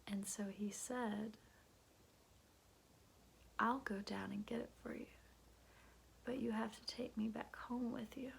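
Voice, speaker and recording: A woman doing ASMR in a soft, breathy whisper, chatting and telling bedtime stories in a hushed, musing way. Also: fairly high pitch, fairly even intonation, slow, long pauses, slight background noise, low-quality recording. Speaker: ASMR host.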